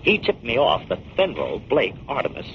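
An actor playing a confident, shrewd amateur detective in a 1940s radio drama, with a wry and persuasive manner. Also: male voice, medium pitch, huge pitch swings, normal speed. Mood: explanatory. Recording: muffled, noisy 1940s radio recording.

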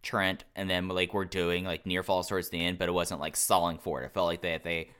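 Deep, bright-voiced man chatting. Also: little pitch movement, a little fast, clean tone. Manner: rambling, says "like" constantly. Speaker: panelist on a wrestling review show. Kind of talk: casual chat.

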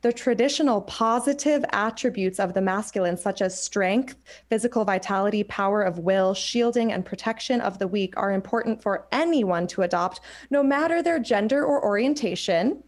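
A woman hosting a casual podcast chat, reading card passages slowly between affirming, chatty remarks. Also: mid-range voice. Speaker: podcast host reading oracle cards.

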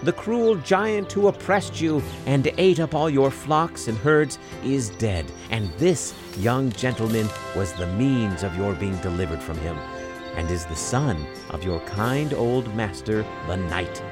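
A man's voice: lowish voice, unhurried, lightly acted. Man voicing a gracious, commanding storybook fairy lady. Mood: proclaiming. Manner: formal, stately, benevolent. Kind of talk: storybook character dialogue. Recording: music under the voice.

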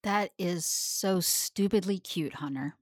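A woman's voice: fairly low pitch, slow, dry recording, studio quality. A woman playing a tough, blunt, regretful woman of the space underworld, confrontational, in a sci-fi audio drama. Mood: wry.

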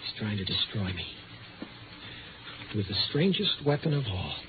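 An actor playing a desperate, panic-stricken man afraid of the dark, with halting, anguished delivery in a 1950s radio drama. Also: lowish voice, sing-song. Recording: noisy, phone-like old radio recording.

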